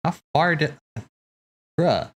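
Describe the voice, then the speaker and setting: A man hosting a watch party, chatting casually in an animated, slangy, teasing way. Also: a little high, takes it slowly. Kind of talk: casual live reaction chat. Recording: game music playing behind.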